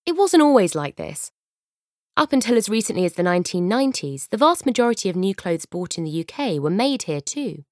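A young British woman narrating an audiobook from a script, expressive and given to wry asides, close on a studio microphone. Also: mid-range voice, fairly quick, no room sound.

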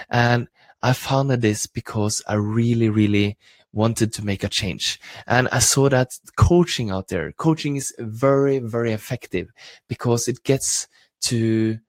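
A man's voice: mid-range voice, measured pace, dry recording. A man with a Norwegian accent giving an enthusiastic motivational live broadcast talk as a coach trainer. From Norway.

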